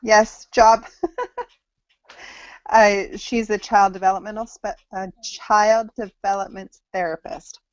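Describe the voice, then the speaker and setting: A woman in her thirties from Samoa and Hawaii, presenting to an online class in a halting way with frequent pauses, heard over a video call. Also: mid-range voice, level tone, no echo. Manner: halting, pausing often mid-sentence. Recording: dull lo-fi video call audio.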